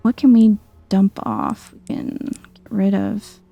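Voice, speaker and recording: Chill soft spoken gamer lady, kinda slow and creaky, asmr playthrough with music in the back. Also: medium pitch, sing-song. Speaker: gaming video host.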